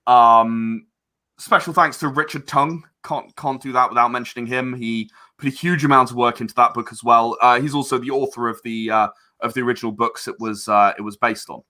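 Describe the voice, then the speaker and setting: A man speaking as a guest in a casual podcast interview, halting and rambling as he explains. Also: medium pitch, fairly even intonation, sharp tone. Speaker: interview guest, audio drama producer.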